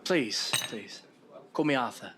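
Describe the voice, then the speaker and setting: An actor playing a polite, hesitant Victorian gentleman who probes softly in an audio drama. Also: mid-range voice, lively intonation, takes it slowly, background noise. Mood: gently probing. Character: Arthur Clennam, courteous, hesitant Victorian gentleman.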